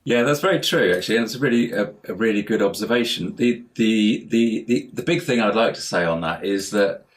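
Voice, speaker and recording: Guy with a lowish voice explaining stuff in an interview, wanders off a bit, repeats words as he goes. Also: brisk. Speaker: interview guest, house healer and life coach. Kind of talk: conversational explanation in an online interview. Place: remote internet call.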